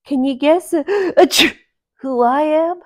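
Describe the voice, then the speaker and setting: A woman's voice: sits high, measured pace, pitched above the performer's own voice. A woman voicing a little male dwarf who pipes up eagerly, stumbling over words between sneezes. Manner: piping, stumbling, interrupted by sneezes.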